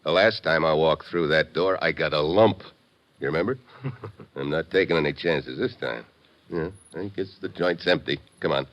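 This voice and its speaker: An actor playing a tough, wry American secret agent, hard-boiled and slangy, in 1950s radio drama style. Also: male voice, very deep voice, moderate pace, a bit bright, dull audio. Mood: alert, curious.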